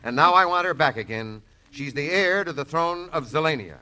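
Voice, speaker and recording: An actor playing a wisecracking, out-of-work prime minister with vaudeville comic timing, in 1940s radio acting style. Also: male voice, a little high, animated, normal speed, sharp tone, rough recording. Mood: scheming.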